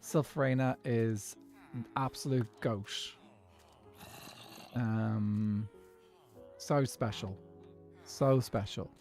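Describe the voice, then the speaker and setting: An Irish man in his twenties or thirties talks through a relaxed game stream in an easy, rambling way, breaking off to answer viewers. His voice sits at an ordinary middle pitch. Soft music plays faintly behind him. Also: drawn-out words, long pauses. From Ireland. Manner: rambling, chatty, reads and answers chat. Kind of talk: casual livestream chat while gaming. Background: faint background music.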